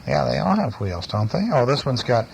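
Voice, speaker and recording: A middle-aged man, a father chatting and reading casually to a child in a gentle, playful way, often asking little questions. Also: medium pitch, big pitch swings, brisk, vocal fry. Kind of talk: casual chat and bedtime story reading to a child. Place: at home, lying down beside a child. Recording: noisy amateur home recording.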